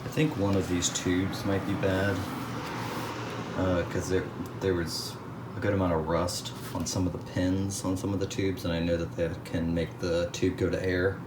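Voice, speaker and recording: A man's voice: low-pitched, flat delivery, normal speed. A man giving casual hands-on repair commentary, thinking aloud in a hesitant way as he works. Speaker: radio restoration hobbyist. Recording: clear background noise.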